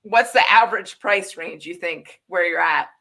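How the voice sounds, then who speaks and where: Bright, chatty woman host. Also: fairly low pitch, moderate pace, vocal fry. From Wisconsin. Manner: chatty, laughing often. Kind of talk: casual interview hosting.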